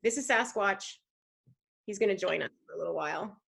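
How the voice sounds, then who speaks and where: Bright, upbeat woman podcast host. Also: mid-range voice, brisk, gravelly, husky. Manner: upbeat, giggly, informal. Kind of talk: casual interview over video call. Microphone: video call.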